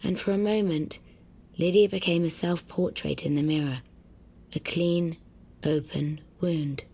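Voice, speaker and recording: A woman narrating a scripted literary story with a husky voice. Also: lowish voice, steady tone, a little slow, dark tone.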